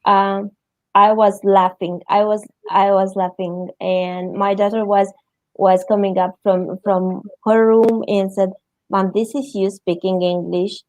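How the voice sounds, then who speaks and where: Middle-aged woman, Romanian accent, slow halting delivery, dry close sound, interview guest. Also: mid-range voice, flat delivery, no room sound, poor audio. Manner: halting, searching for words in non-native English. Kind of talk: conversational interview answers.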